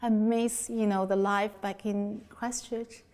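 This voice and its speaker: A woman's voice: mid-range voice, level tone, slow, a little mellow. Woman from China, Mandarin native, mid voice, thoughtful. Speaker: interviewee. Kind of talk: documentary interview.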